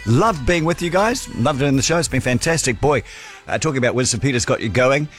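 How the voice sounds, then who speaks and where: A man's voice: mid-range voice, expressive, fairly quick, faint music behind. A man in his sixties with a New Zealand accent hosting talkback radio, chatty and opinionated as he reads out listener texts. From born in the UK, raised in New Zealand. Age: 60s. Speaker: radio talk host.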